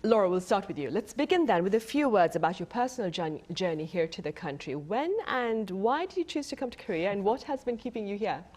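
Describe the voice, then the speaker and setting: A woman's voice: mid-range voice, animated, brisk, few pauses, a little mellow. A woman hosting a broadcast TV interview in a studio, speaking in polished, formal phrases. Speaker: TV interview host.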